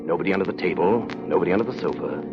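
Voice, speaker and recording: A man's voice: deep voice, very fast, highs cut off. A man narrating a story as a dramatic scripted 1930s radio broadcast. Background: loud music.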